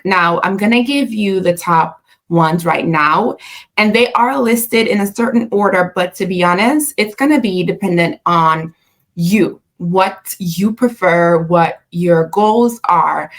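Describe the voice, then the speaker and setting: A woman with a Jamaican accent, hosting a casual, chatty finance explainer with frequent fillers and self-corrections. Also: lowish voice. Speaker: personal finance channel host. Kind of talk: casual explainer talking to camera.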